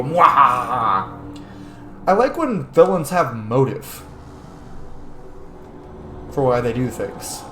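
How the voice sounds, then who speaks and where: A man's voice: mid-range voice, a bit bright. A man giving casual gameplay commentary as a video host, informal, joking and sometimes profane. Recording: roomy echo, faint noise.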